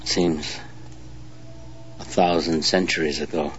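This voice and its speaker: An actor playing a brooding, menacing older renegade army colonel, speaking low and slow in a half whisper, in a film clip. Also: male voice, steady tone. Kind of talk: film dialogue. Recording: muffled film audio with background hiss.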